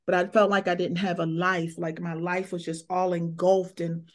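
A woman in her fifties, a minister and former coach, telling her life story in a lively interview and acting out what people said to her. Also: lowish voice, moderate pace. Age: 50s. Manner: lively, acts out quoted dialogue.

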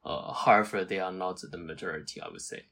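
Hesitant Hong Kong man, lowish voice. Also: very animated, quick pace, gravelly, a bit bright. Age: early 30s. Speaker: podcast guest, climate scientist. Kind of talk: conversational interview answers.

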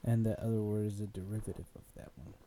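A man's voice: lowish voice, steady tone, fast, warm tone, slight background noise. A young man hosting a casual beer podcast, playful and crude, tossing in mock German words. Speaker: podcast host. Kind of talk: casual chat.

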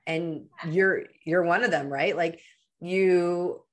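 A woman in her thirties with a slightly low voice. She hosts a podcast and interviews in a casual, effusive way, building her points in short, informal phrases. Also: takes it slowly. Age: 30s. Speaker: podcast host.

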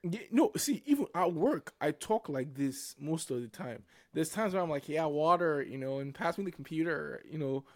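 A man's voice: on the high side, animated, normal speed, hardly any pauses, dry recording. A young man with a Nigerian accent chats on a podcast about his own life. His voice sits in a middle range and sounds dry and close to the mic. He circles his thoughts, restarting words as he goes. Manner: reflective, repeats and restarts words. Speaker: podcast co-host. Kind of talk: casual faith-based podcast chat.

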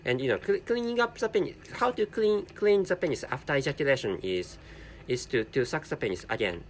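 A man whose first language is Japanese, speaking English with a Japanese accent in a halting solo commentary, repeating words and correcting himself. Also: fairly high pitch, expressive, slight background noise. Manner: halting, with heavy repetition and self-correction. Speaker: podcast host.

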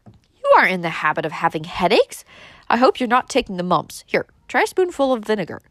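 A woman's voice: mid-range voice, big pitch swings, brisk, dry recording, lightly acted. Woman voicing a fussy, meddling older female cousin. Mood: nagging. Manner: nagging, exclamatory.

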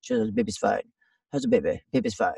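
A woman in her fifties with a low, raspy voice, chatting in a rambling, self-deprecating way as a voiceover actor guest in a podcast interview. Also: wildly swooping pitch, fast, dark tone, no echo, clean studio sound, recorded from a distance. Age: 50s.

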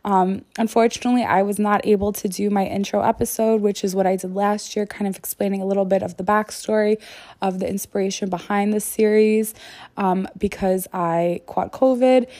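A woman's voice: medium pitch, warm tone, dry recording. A woman hosting a podcast solo in a casual, earnest, conversational way, peppered with "like" and "you know", her voice not quite back to normal after being sick. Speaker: podcast host.

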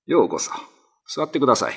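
A man acting a renowned, dignified Ryukyuan lord and demon slayer in a radio drama, speaking gravely in old-fashioned, commanding language. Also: medium pitch, big pitch swings, fast talker, a bit bright, full character voice. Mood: dignified, composed.

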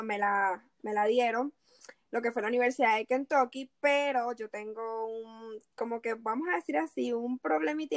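A young Venezuelan woman in her twenties whose accent has softened toward Puerto Rican Spanish, chatting casually as a guest with lots of fillers and laughs. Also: on the high side, no room sound. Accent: Puerto Rican-influenced Venezuelan Spanish. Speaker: athlete guest. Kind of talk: casual interview over a call.

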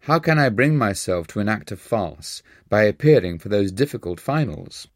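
Man voicing an earnest, animated young student. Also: fairly low pitch, normal speed, no room sound, clean studio sound. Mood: alarmed, protesting. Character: earnest, cheeky young male Indian college student. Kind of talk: audiobook character voice.

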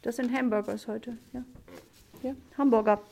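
A woman from Germany, a German teacher hosting a classroom podcast, asking simple questions slowly with many fillers. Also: fairly high pitch, steady tone, gravelly, darkish tone, a little hiss or hum.

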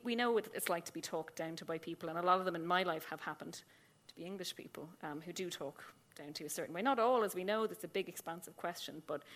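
A middle-aged Irish woman speaking on a live panel, animated and often restarting her sentences. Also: lowish voice. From Ireland. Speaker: essayist on a panel. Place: small museum event room.

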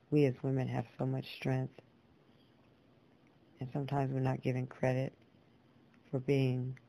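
A woman spoken word poet and storyteller addressing listeners deliberately, in short phrases with pauses between them. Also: very deep voice, creaky, dark tone, rough recording. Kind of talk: spoken word performance with personal introduction.

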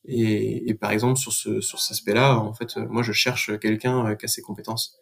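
Man chatting in an even voice. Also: fairly low pitch, normal speed, gravelly. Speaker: podcast guest, business manager. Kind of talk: casual explanatory chat.

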